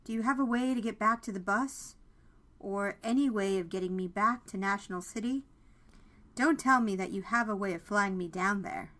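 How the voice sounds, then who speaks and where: Woman acting a bright-voiced, exasperated woman CEO. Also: mid-range voice, normal speed, lightly acted. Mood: bitter, frustrated. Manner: exasperated, snappish. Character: curt, frustrated young woman CEO, guarded and stranded. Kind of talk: audio fan fiction acting.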